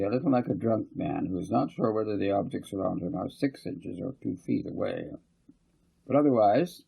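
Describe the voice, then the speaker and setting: A man's voice: fairly low pitch, vocal fry, dark tone, breathy edge, rough recording. A man reading a story aloud as a scripted audiobook narrator. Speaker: author reading own book as narrator.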